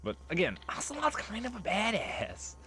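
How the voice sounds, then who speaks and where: A man's voice: high voice, huge pitch swings, moderate pace, pushed and bright, a little hiss or hum. A man giving casual let's play commentary, explaining game lore in a conversational, rambling way. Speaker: let's player. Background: game music and audio.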